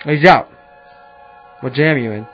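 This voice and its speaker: A man's voice: medium pitch, measured pace, lightly acted. A man playing a smug, corrupt policeman with an oily, taunting manner in a noir radio play. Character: smug, corrupt male police officer shaking down a detective. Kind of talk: 1940s radio noir acting. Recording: dull lo-fi audio with music.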